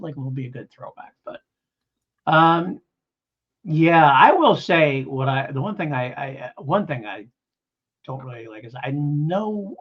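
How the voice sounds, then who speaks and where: A man's voice: fairly high pitch, fairly bright tone, slightly rough, dull audio. A man co-hosting a casual review chat, rambling and enthusiastic as he restates his points. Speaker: podcast co-host reviewing audio dramas.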